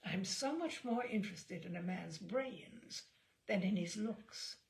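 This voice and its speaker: Woman acting storybook spinster passenger, prim, genteel, coolly polite, measured, creaky voice. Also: medium pitch, animated. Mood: feigned indifference.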